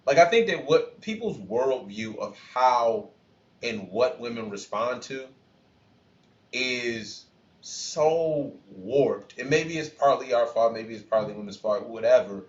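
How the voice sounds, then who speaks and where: Podcast cohost guy thinking out loud, lots of you know, dull muffled sound. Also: medium pitch, expressive, takes it slowly, reverberant. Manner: thoughtful, restarts often, leans on "you know". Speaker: podcast co-host. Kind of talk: casual reaction podcast chat.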